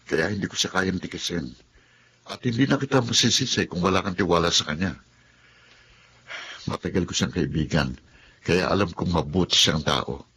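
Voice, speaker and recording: A loyal, generous young man, played by a man in a radio drama. His voice is very low and steady, with a faint hiss under it.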